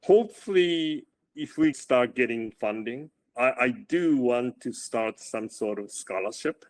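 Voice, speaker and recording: A male running coach speaking in a casual interview, with a loud voice, often repeating words as he starts his sentences. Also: mid-range voice, lively intonation, measured pace.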